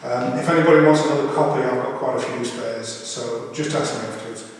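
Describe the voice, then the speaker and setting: A man giving an informal, hesitant and halting welcome speech as he leads a funeral service. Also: mid-range voice, steady tone. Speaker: family member leading a funeral service. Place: crematorium chapel. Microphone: distant camera at the back of the room. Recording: very echoey chapel, distant mic, faint noise.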